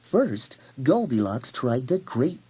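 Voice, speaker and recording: A woman narrating a children's story expressively, with gentle, exaggerated emphasis for young listeners. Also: very deep voice, sing-song, takes it slowly, slightly rough. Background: music.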